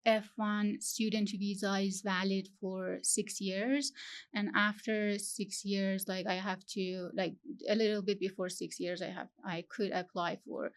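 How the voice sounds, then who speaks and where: Young iranian-born woman, slow careful talk full of ums, interview guest. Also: medium pitch. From Iran. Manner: halting, searching for words, frequent fillers. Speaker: guest, PhD student. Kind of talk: interview conversation.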